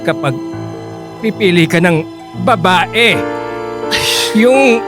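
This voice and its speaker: Middle-aged father: slightly high male pitch, judgmental, scolding, radio drama with loud music underneath. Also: expressive, takes it slowly. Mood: disapproving. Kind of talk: Filipino radio drama acting.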